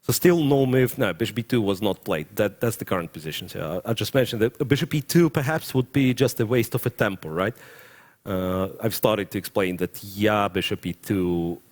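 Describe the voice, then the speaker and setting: A man's voice: fairly low pitch, moderate pace. A young adult man with a Ukrainian accent, giving live commentary on a chess game, thinking aloud and often stopping to correct himself. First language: Russian.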